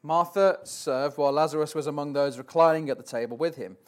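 A man's voice: a little high, brisk. Hesitant man preaching a sermon. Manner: self-deprecating, hesitant, many ums. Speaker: stand-in preacher. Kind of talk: church sermon with Bible reading. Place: church platform before a congregation.

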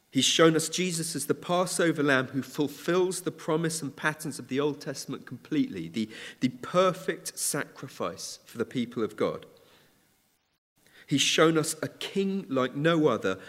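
A man preaching a sermon in a church, measured and earnest, with occasional restarts. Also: mid-range voice. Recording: church hall reverb.

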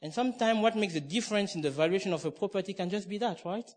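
A man's voice: high voice, fast talker. A middle-aged man from Cameroon preaching a sermon, emphatic and conversational, with restarts and asides.

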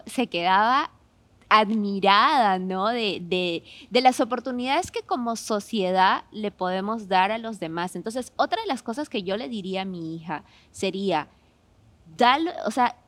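A woman's voice: medium pitch, dry recording. A woman with a Peruvian accent, speaking as a podcast guest in a conversational, anecdotal way and often adding little tag questions. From Peru.

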